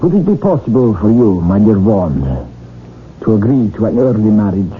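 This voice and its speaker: Guarded professor, muffled old radio. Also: male voice, lowish voice, very animated. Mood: calculating. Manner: formal, deliberate, measured with pauses. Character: guarded, calculating older professor, stern guardian uncle. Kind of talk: radio drama acting. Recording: muffled, noisy vintage radio recording.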